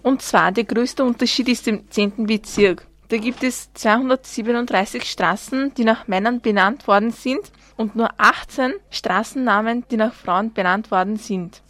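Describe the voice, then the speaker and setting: A teenage schoolgirl reads out her class's research results on air, earnest and emphatic. Her voice is slightly high, recorded dry and close. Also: moderate pace, a bit bright, no room sound. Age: teens. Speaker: student. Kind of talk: reading a prepared report on radio.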